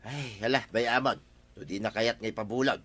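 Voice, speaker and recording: Grumbling village husband, Ilocano radio comedy, sharp mid-range voice, blunt. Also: male voice, medium pitch, steady tone, brisk, breathy edge. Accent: Filipino, Ilocano. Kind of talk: broadcast radio comedy drama acting.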